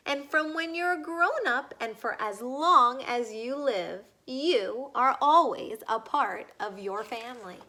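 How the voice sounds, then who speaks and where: A woman reads a children's book aloud in a high, bright voice. She goes slowly and deliberately, speaking straight to young listeners and asking them questions as she reads. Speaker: read-aloud reader.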